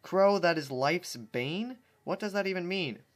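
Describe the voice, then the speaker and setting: A man's voice: high voice, lively intonation, unhurried, pitched above the performer's own voice. A man reading the lines of a brash, sarcastic female pegasus pony, close to his own voice. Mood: puzzled. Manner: sarcastic, blunt. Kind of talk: reading a fan story aloud.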